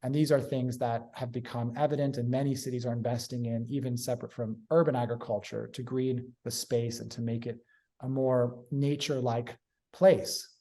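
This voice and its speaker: Mellow-voiced man explaining earnestly. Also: medium pitch, little pitch movement, normal speed. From Hong Kong. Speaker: guest, urban agriculture consultant. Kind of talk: interview answers.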